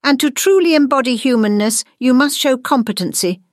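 A woman's voice: high-pitched, animated, fairly quick, no echo, studio quality, pitched above the performer's own voice. A woman voicing a composed, wry, knowing witch who teaches school, in a storybook. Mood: composed, instructive.

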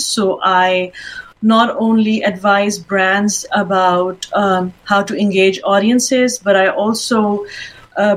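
A middle-aged woman from Pakistan answering interview questions as a guest, speaking in a measured way with frequent ums and restarts. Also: medium pitch. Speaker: guest, fashion event founder.

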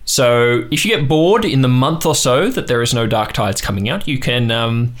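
A man's voice: medium pitch, lively intonation, normal speed. A man hosting an improvised fiction podcast as game master, moving between casual announcements and narration.

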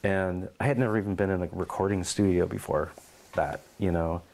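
A middle-aged man in an oral history interview at his home, telling lively stories and acting out quotes. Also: low voice, fairly quick, creaky, poor audio. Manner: animated storyteller, acts out quotes and meows.

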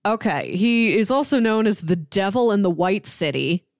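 A woman with a mid-pitched voice talks casually as a podcast co-host. She explains things with enthusiasm, sprinkling "like" through her sentences. The sound is dry, with very little room in it. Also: lively intonation, normal speed, no echo. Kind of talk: casual comic book discussion.

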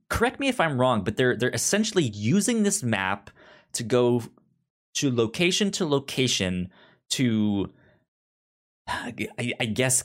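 A man co-hosting a casual book discussion, talking haltingly and stumbling over the starts of words. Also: mid-range voice, fairly bright tone. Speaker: podcast co-host.

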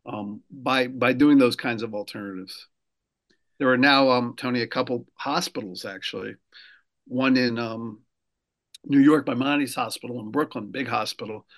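Man, policy guest, from New Jersey, mid pitch, steady explaining tone with many ums and restarts. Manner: measured, many fillers and restarts. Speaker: guest, political consultant. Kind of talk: radio interview. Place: lives in Rhode Island.

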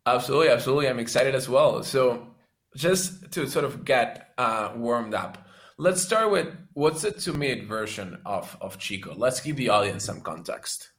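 A man's voice: medium pitch, expressive, normal speed, fairly bright tone. A man hosting a podcast, reading a scripted intro and then asking questions with frequent restarts. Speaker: podcast host.

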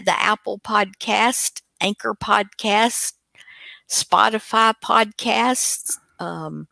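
A woman's voice: on the high side, vocal fry, pushed and bright, no echo. A woman co-hosting a show with casual, rambling, folksy and teasing unscripted chat. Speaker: co-host of an old-time radio online show.

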